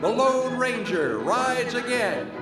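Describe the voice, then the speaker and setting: A man's voice: very high-pitched, very animated, takes it slowly. A man narrating a Western radio play in a dramatic old-time announcer style, grandiose, stretching out key phrases. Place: performing arts center stage, live. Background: orchestral music accompaniment.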